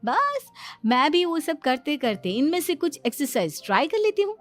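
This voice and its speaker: A woman's voice: high-pitched, big pitch swings, moderate pace, soft background music. A woman playing a confident, boastful young daughter-in-law who explains everything in a cartoon family story. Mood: self-assured. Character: confident know-it-all young daughter-in-law, cheerfully self-assured.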